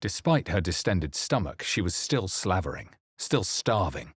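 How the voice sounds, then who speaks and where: A brooding adventurer narrates a dark fantasy game in a very low, husky voice. The delivery is measured and grave, with dramatic pauses, recorded dry and close. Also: male voice, sing-song, no room sound, full character voice. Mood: grave, reflective. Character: brooding male adventurer narrating his own dark memories. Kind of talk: solemn first-person narration in a fantasy video game.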